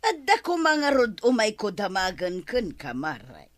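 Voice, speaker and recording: A woman playing a quarrelsome, hot-tempered village woman with an Ilocano accent in a broadcast comedy drama, given to scolding and drawn-out exclamations. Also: medium pitch, huge pitch swings. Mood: sulky, subdued.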